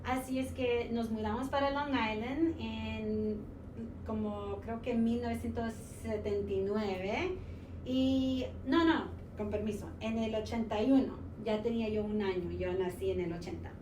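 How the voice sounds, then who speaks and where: Woman in her forties, distant and roomy. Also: on the high side. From United States, New York. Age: 40s. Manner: halting, many fillers, slips English words into Spanish. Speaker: social studies teacher, interview guest. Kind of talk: casual interview, personal story. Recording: roomy sound from a distant mic with noise.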